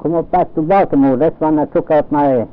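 A man in his seventies, recalling his early years in a halting, plain-spoken way during an oral history interview. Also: fairly high pitch. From Norway. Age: 70s. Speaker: interviewee, old-time pioneer. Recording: tinny old tape with faint hiss.

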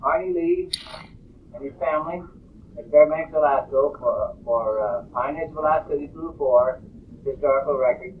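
A man's voice: fairly high pitch, normal speed. Male interviewer, hesitant prompts, off-mic on old tinny tape. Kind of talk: oral history interview. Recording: tinny distant tape, faint hiss.

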